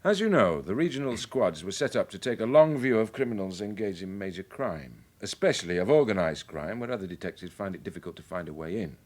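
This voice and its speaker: A senior police chief in a radio drama. The voice is fairly low and moves expressively in pitch, with a measured, authoritative, matter-of-fact way of giving orders. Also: male voice, expressive, a little mellow. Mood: grave, briefing. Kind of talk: naturalistic radio drama acting.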